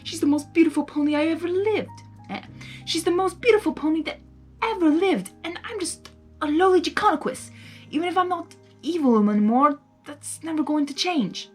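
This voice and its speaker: A woman's voice: very high-pitched, animated, normal speed, faint music behind, pitched above the performer's own voice. A woman voicing a dramatic male draconequus from a storybook, an insecure yet smug and lovestruck groom, with theatrical emphasis. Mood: self-doubting. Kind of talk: audiobook-style character reading.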